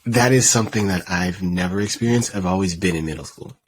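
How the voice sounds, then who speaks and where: A man hosting a casual interview, speaking as a middle school teacher in a relaxed, reflective storytelling way. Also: deep voice, fairly quick, a little hiss or hum.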